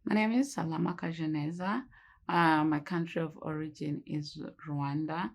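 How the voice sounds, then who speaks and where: A woman's voice: low-pitched, steady tone, moderate pace, gravelly. A woman in her thirties, speaking earnestly in a documentary interview. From Kenya. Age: 30s. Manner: earnest, urging.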